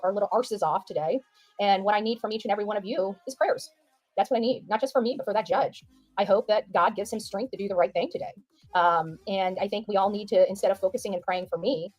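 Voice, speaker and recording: A woman's voice: fairly low pitch, a little mellow, no echo, poor audio. A woman giving informal livestream commentary, emphatic and repeating phrases for stress.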